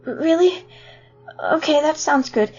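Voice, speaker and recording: Woman voicing a high-pitched earnest little skeleton boy. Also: slow pace, darkish tone. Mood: relieved, timid. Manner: earnest, hesitant. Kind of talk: fan comic dub voice acting. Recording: muffled lo-fi audio over music.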